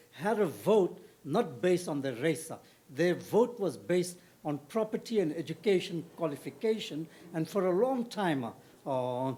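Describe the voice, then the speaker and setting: An older man from South Africa asking the panel a long question from the audience, halting and full of fillers. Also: high-pitched, warm tone. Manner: halting, with many fillers and restarts. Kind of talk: question from the floor at a panel discussion.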